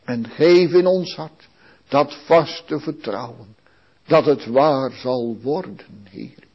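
A man leading a long public prayer in a slow, solemn and pleading way. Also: on the high side, a little mellow, breathy edge, muffled recording. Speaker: praying minister.

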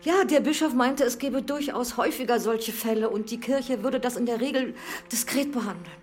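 Hesitant, sorrowful widowed housekeeper, played by an actress. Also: female voice, on the high side, faint music behind, close to an everyday voice. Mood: earnest, firm. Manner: hesitant, hushed, deliberate. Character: widowed parsonage housekeeper, older, sorrowful, discreet, confessing. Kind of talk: radio drama acting.

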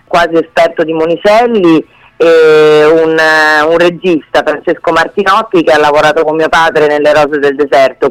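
A woman's voice: low-pitched, smooth, no room sound, poor audio. A woman speaking candidly and conversationally as an author being interviewed on the radio. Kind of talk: informal spoken radio interview.

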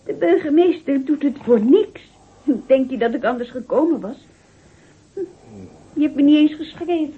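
Exasperated fiancée, high animated voice, scolding, radio drama, tinny recording. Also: female voice, moderate pace, slight background noise. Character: brisk, nagging, no-nonsense bride-to-be, a woman. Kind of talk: radio play acting. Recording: thin, narrow-band old radio recording.

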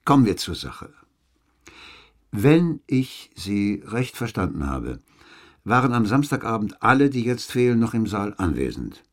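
Impatient detective type in a radio play, lowish, lively intonation, dry and condescending. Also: male voice, measured pace. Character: impatient, sceptical male investigator questioning a witness. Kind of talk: German radio play acting.

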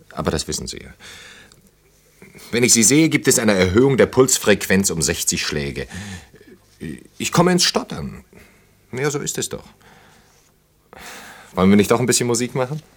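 A reserved middle-aged department head in a radio drama. His voice sits low, with wide melodic swings. He speaks in a dryly formal, halting way, awkward and evasive. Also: sing-song. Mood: awkward flirting.

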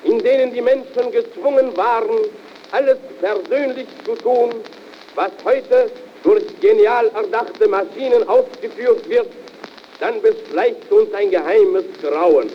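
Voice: medium pitch, measured pace, close to an everyday voice. An actor playing a solemn festive orator from the future, declaiming grandly on an early twentieth-century gramophone record. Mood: solemn, pompous. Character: solemn orator of the year 2000 looking back. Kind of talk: 1900s comic festive speech on record. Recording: crackly, dull 1908 gramophone record.

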